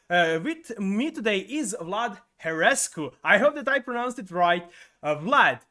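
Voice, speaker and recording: A man hosting a podcast interview, asking halting questions with many restarts and fillers. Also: high-pitched, bright, forceful tone. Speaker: podcast host.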